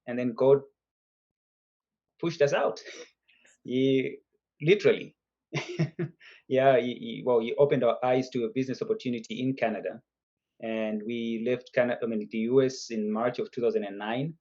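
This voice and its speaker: Zimbabwe-born guy in his 50s, chatty storyteller, dark mellow voice, kinda muffled call audio. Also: mid-range voice. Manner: chatty storyteller, laughs between points. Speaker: guest entrepreneur. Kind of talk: casual interview on a video call.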